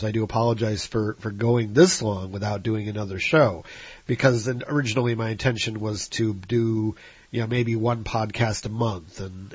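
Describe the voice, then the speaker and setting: A Midwestern American man hosts his own podcast with a congested, nasal voice and a slightly husky tone. He talks in a rambling, apologetic way, full of restarts and fillers. The recording is dry and a little dull, like a lo-fi home setup. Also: on the low side, a little mellow, no room sound. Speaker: podcast host. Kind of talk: solo podcast monologue.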